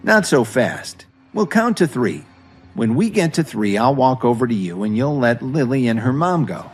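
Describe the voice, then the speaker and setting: Audio drama lead, acted by a man: young male hero, composed, defiant, expressive intonation, faint music behind. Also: medium pitch, moderate pace, breathy edge. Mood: firm.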